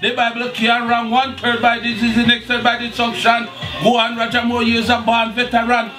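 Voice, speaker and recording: A Jamaican man chants in a rhythmic, preacher-like flow. His voice is very high and sits back from the mic. Loud music runs under him. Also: monotone, fairly quick, distant mic.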